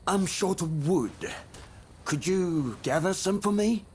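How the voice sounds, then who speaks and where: A man's voice: sits high, takes it slowly, a little mellow, slight background noise. A man voicing a practical, polite helper from samurai times in a video game. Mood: matter-of-fact. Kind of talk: video game cutscene acting. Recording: faint background ambience.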